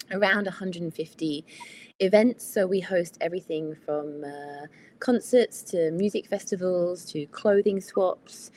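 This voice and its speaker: A woman from the UK, managing director of a venue, speaking on a video call from a former factory hall, steady and informative with many fillers. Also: on the low side, a little hiss or hum. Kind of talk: guest explaining on a webinar.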